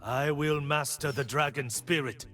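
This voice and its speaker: A man playing a disciplined, resolute blind warrior monk for a video game, speaking in solemn, measured aphorisms. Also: on the high side, steady tone, bright, forceful tone, no echo, soft background music. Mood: disciplined, determined.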